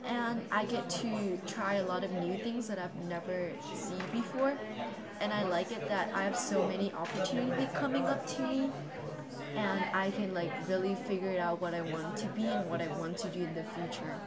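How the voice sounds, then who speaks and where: A teenage girl from Vietnam with a Vietnamese accent, a high school student talking earnestly in an interview, with simple phrasing and fillers. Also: normal speed, a loud crowd. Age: teens. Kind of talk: interview testimonial. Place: school library, indoors. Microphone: camera on table, seated medium shot.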